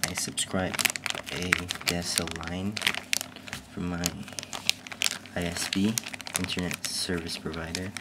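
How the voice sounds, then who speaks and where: A man's voice: sits low, little pitch movement, slow. A young man from Malaysia, hesitantly narrating an unboxing, sometimes dropping to a whisper. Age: young adult. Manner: hesitant, drifting to whispers and back. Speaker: unboxing vlogger. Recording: loud background noise. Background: packaging crinkling and clicks.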